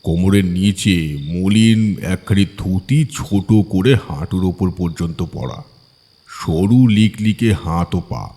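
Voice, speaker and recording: A man's voice: sits low, takes it slowly, mellow, husky. A man narrating a scripted horror story as an audiobook reader. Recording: long hall-like reverb.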